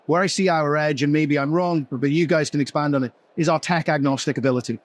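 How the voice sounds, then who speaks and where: A man leading a business panel, asking long questions in a rapid flow. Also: fairly high pitch, fairly even intonation. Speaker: company executive leading the panel. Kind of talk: panel conversation.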